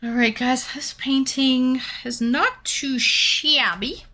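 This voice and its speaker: A woman's voice: sits high, slow pace, bright, forceful tone. A young woman from Canada chatting casually with viewers while painting and reading out their comments. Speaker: streamer.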